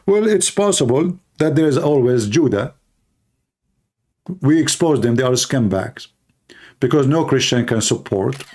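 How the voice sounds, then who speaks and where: A man's voice: medium pitch, a little mellow. A man from the Middle East, a native Arabic speaker with an Arabic accent, talking informally and bluntly as he hosts a live stream. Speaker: live stream host, religious apologist.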